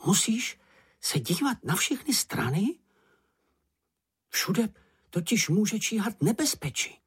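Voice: medium pitch, sing-song, breathy edge, voice pushed up. A narrator voicing a small, timid male animal who frets and complains in a frightened, whiny manner. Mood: excited, wary. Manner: fretful, anxious, complaining.

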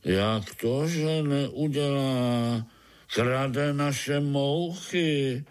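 A man voicing a grumpy old spider in a storybook, peevish and grumbling, with drawn-out words. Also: fairly low pitch, little pitch movement, natural voice. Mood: indignant. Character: grumpy old male spider leader, sullen complainer.

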